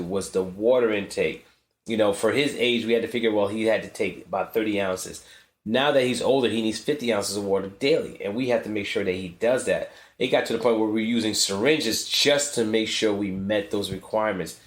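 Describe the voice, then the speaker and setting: A man co-hosting a podcast, explaining household routines in a steady, practical way. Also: on the low side, reverberant. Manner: married couple's parent perspective. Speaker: podcast co-host. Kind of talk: casual podcast talk.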